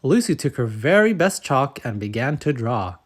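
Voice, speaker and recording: A man reads a picture book aloud for children. His mid-pitched voice is expressive and measured, shaped for storytelling, with each phrase set out carefully. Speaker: storybook reader and channel host. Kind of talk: scripted children's story narration.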